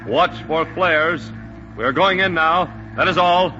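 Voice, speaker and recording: An actor playing a decisive American squadron commander with clipped delivery in a 1940s radio drama. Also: male voice, fairly high pitch, slow, loud music behind the voice. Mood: commanding. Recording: muffled old radio, loud engine roar.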